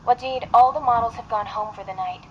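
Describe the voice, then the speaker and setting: A woman plays a blunt, exasperated office assistant in an audio roleplay. Her voice is on the high side, and the sound is dull and muffled with faint noise. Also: brisk, close to an everyday voice.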